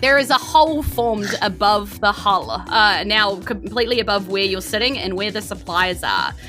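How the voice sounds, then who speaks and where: A woman game master improvising narration for a tabletop game, with animated storytelling and halting asides. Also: mid-range voice. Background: faint music.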